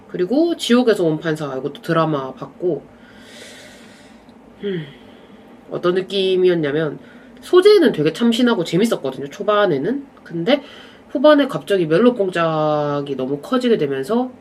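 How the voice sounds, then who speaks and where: A young adult speaker with a slight cold talks to camera at home, their voice a little stuffy and nasal. The delivery is relaxed and chatty, and it wanders into small asides along the way. A faint background hiss runs under the recording. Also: medium pitch. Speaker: vlogger reviewing films and dramas. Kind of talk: casual rambling chat to camera.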